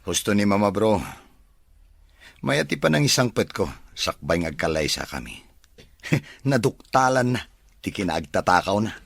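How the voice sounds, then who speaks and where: A man's voice: lowish voice, sing-song, normal speed, creaky, pauses often, a bit bright, husky, no echo, exaggerated voice. A man playing a smitten, gullible rich bachelor who dotes on his mother, in an Ilocano radio drama. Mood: earnest, hopeful. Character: smitten, gullible rich mama's-boy bachelor, eager and soft-hearted.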